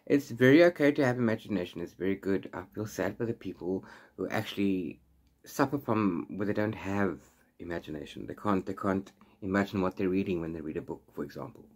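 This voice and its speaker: A man in his forties giving a casual, unscripted book chat, rambling in an animated way with exasperated asides. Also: low-pitched, a little fast. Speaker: book reviewer vlogger. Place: small room at home with bookshelves.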